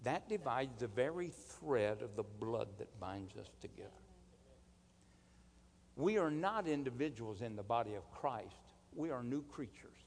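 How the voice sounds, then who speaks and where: Male preacher, mid-pitched, slow and deliberate sermon delivery, long hall reverb. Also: animated, vocal fry, a little hiss or hum. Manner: emphatic, deliberate, rhetorical questions and calls for amen.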